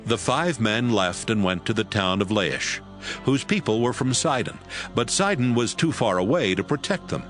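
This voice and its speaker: A man narrating scripture in a steady, measured way. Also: medium pitch, faint music behind. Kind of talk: scripted audio Bible narration.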